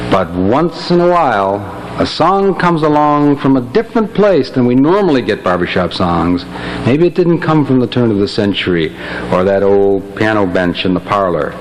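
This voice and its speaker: A man's voice: mid-range voice, expressive, normal speed, slight background noise, low-quality recording. A man speaking as presenter of a barbershop chorus show, giving a spoken introduction between songs on stage.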